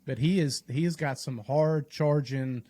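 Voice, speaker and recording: Thoughtful, halting man, dry close sound. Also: on the high side, warm tone, no room sound. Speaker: podcast host appearing as guest. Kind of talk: casual podcast conversation.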